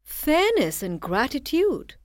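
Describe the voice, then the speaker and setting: Lady doing a grumpy old pine tree, loud and accusing, slow. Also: high voice, huge pitch swings, no echo, clean studio sound, voice pushed up, heavily acted. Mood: bitter. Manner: accusing, forceful. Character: bitter old pine tree, resentful of men.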